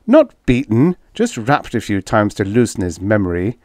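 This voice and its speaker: A man acts out a hard-nosed, sardonic male diplomat in an audiobook story. He speaks in a pressing, accusing way, with lively swings of pitch, in a close, dry recording. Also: moderate pace, no room sound. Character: tough, sarcastic male diplomat, blunt and accusing. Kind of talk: audiobook character dialogue.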